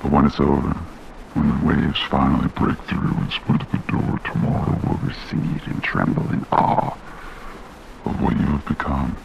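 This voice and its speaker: An actor playing an ominous, solemn entity in a horror game, delivering cryptic, grandiose pronouncements in a gravelly voice. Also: male voice, a bass voice, level tone, moderate pace, highs cut off, a little hiss or hum, exaggerated voice. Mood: solemn, foreboding. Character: ominous, solemn male entity addressing a stranger before trials. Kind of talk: scripted horror game monologue.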